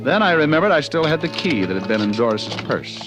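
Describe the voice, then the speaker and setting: An actor playing a slick, wisecracking private detective in 1940s radio style, glib, dry-witted and unruffled. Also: male voice, a little high, sing-song, fast talker, music in the background, poor audio. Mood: wry narration. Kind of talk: 1940s radio acting, first-person narration.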